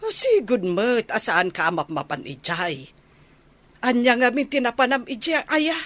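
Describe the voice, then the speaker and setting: A woman's voice: on the high side, sing-song, measured pace, fairly bright tone. A woman with an Ilocano accent playing a scolding, inquisitive village woman in a comedy radio drama. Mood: nagging.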